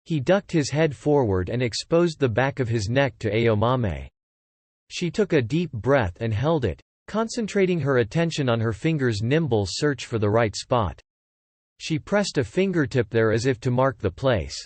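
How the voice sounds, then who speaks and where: Measured male audiobook narrator, very dry sound. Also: mid-range voice, big pitch swings, darkish tone, no room sound. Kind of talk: scripted fiction narration.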